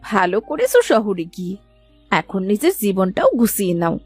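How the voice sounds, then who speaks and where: A woman's voice: medium pitch, very animated, moderate pace, darkish tone, husky. Woman acting guarded village sister-in-law. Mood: teasing, reproachful. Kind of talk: dramatized Bengali cartoon story dialogue. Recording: music behind the voice.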